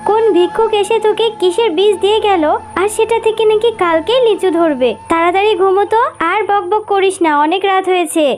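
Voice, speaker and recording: A woman's voice: extremely high pitch, steady tone, fairly quick, hardly any pauses, darkish tone, slight background noise. A woman voicing a busy, loving fox mother in a children's cartoon, motherly and given to nagging. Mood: exasperated, scolding. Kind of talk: Bengali children's cartoon voice acting. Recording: music playing behind the voice.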